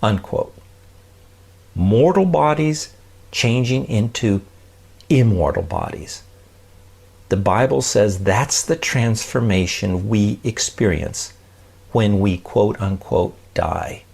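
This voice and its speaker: A man's voice: on the low side, lively intonation, slow, vocal fry, pauses often, a little mellow, slightly rough. A man hosting a podcast, giving an emphatic, informal, conversational lesson, stressing key words and checking in with the listener. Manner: emphatic, with rhetorical check-ins like "right?" and "okay?". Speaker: podcast host explaining science and faith. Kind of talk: conversational teaching talk.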